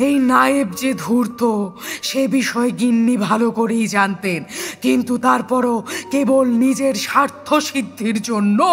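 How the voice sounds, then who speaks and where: A woman's voice: high voice, fairly bright tone. A woman playing a vengeful, gloating, man-eating serpent spirit in a dramatized horror audio story, with menacing, declamatory delivery. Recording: long hall reverb, music behind.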